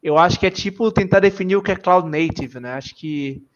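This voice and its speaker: A man from Salvador with a Bahian accent, a software engineer guest explaining cloud computing in a casual, self-deprecating livestream chat. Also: high voice, brisk, muffled recording. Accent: Bahian Brazilian Portuguese. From Salvador, Bahia, Brazil. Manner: self-deprecating, jokey, full of filler tags.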